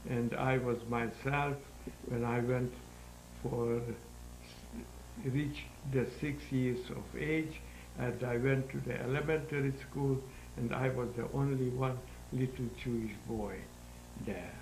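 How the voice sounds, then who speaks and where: A man in his nineties with a Hungarian accent, giving halting, deliberate interview answers about his own life in non-native English. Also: medium pitch, steady tone, darkish tone. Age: 90s. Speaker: interview guest, sculptor. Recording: roomy echo, faint background noise.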